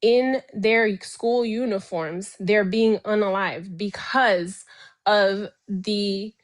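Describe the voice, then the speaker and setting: A Haitian woman, a guest in a political debate, speaking slowly and deliberately with firm emphasis. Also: mid-range voice, fairly even intonation.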